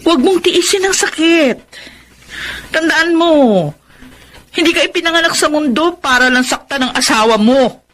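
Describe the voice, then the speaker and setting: A woman's voice: high voice, moderate pace, long pauses, a bit bright. A woman with a Filipino accent playing a blunt, exasperated, protective older sister in a radio drama, scolding emphatically. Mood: urgent advice. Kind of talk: Tagalog radio drama acting.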